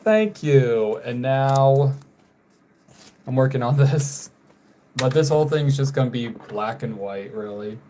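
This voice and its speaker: A young man from North Carolina talks to his live stream viewers in an easy, unhurried way. His voice sits at an ordinary pitch. His sentences tend to fade softly at the end, and he keeps apologizing for getting names wrong. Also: animated, slight background noise. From North Carolina, USA. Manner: slow, trailing off quietly, apologetic about names. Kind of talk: casual live stream chat while drawing.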